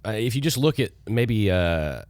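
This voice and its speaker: A man with a very low voice that is hoarse from a cold, co-hosting a casual church podcast. He is agreeable and easygoing and chimes in with quick affirmations. The sound is dry and close to the mic. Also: expressive, darkish tone, no room sound. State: hoarse from being under the weather. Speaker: podcast co-host.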